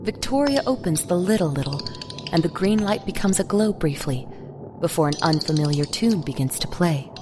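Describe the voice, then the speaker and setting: A woman's voice: on the low side, lively intonation, normal speed, over music. A woman narrator reading a scripted dramatic story in the third person.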